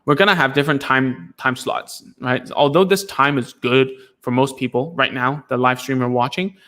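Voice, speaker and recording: Male host of a live Q&A stream, mid-pitched and loose, talks in rambling restarts. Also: steady tone, normal speed, pushed and bright. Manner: rambling, many fillers and restarts. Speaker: speech therapist hosting a live stream.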